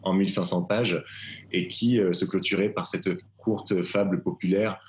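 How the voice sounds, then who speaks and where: Deep-voiced man, measured and literary. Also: fairly even intonation, a little hiss or hum. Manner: measured, literary, with frequent fillers. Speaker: theatre director presenting his play. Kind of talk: live presentation of a play. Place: live video call.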